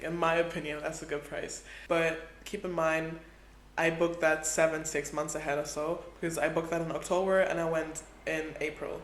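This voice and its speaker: A man in his twenties chatting casually in a travel vlog, filling his talk with "like". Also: on the high side. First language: Portuguese is his second language. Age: 20s. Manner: chatty, heavy use of "like". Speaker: travel vlogger. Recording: echoey room with faint ambience.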